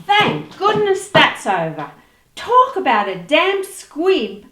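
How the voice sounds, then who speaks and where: A woman's voice: high-pitched, wildly swooping pitch, takes it slowly, bright, forceful tone, reverberant, heavily acted. A woman playing a bossy, scornful radio producer in a comic radio play, cutting and domineering.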